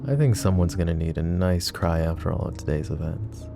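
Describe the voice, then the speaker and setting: A man voicing a flirty, possessive and protective mafia boss in an ASMR roleplay, teasing and soft-spoken. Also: deep voice, brisk, creaky, music in the background. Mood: tender teasing.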